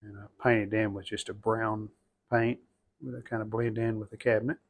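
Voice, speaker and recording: A man giving casual how-to narration as a hobbyist restorer, plain-spoken and folksy, low-key, talking in short phrases. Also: level tone, moderate pace. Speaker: hobbyist restorer demonstrating a repair.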